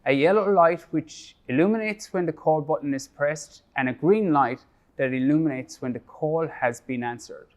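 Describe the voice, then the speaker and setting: A man presenting a project update in a measured, scripted way, reading prepared remarks. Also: on the high side.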